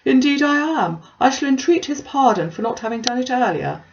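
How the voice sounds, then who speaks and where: A woman's voice: high-pitched, expressive, brisk, gravelly, slight background noise, voice pushed up. Lady doing a pompous fawning clergyman for an audiobook, formal n wordy, bit muffled sound. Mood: eager. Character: pompous, self-important clergyman, eager and obsequious. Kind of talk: audiobook character dialogue.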